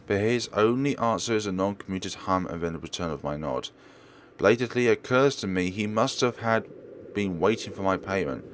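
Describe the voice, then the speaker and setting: A man's voice: low voice, fairly bright tone, slight background noise. A man hosting a let's play, reading a visual novel aloud in a theatrical way and sometimes stumbling and rereading words. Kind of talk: dramatic read-aloud narration of a visual novel.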